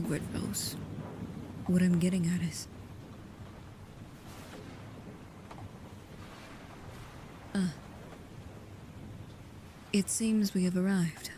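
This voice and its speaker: A woman playing a devoted, anxious, shyly affectionate vampire bodyguard in an intimate ASMR roleplay, speaking softly and haltingly, often near a whisper. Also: lowish voice, lightly acted. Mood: shy, hopeful. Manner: hesitant, halting, soft-spoken, often dropping to a whisper. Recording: clearly audible background ambience noise.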